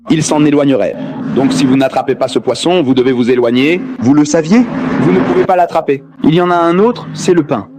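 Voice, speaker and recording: A man delivers a lecture on faith, posing questions straight to his listeners and slipping in jokes. His voice sits a little high for a man. The recording is noisy, with steady ambient sound behind him. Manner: rhetorical, questions the audience, jokes. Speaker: religious debate lecturer. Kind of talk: dubbed public lecture.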